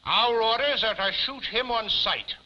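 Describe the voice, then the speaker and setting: A man's voice: very high voice, unhurried, sharp tone, full character voice. A man playing a blustering, hard-boiled American police chief in a 1950s television comedy, emphatic and officious but formally courteous. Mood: officious, boastful. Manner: emphatic, officious, addresses woman formally as ma'am. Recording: muffled 1950s television soundtrack.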